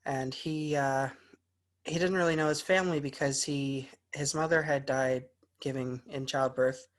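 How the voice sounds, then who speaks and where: A man's voice: medium pitch, steady tone, measured pace. A young American man, a college student, speaking informally and a little hesitantly as he shares in an online class. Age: young adult. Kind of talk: informal spoken class presentation. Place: online class video call.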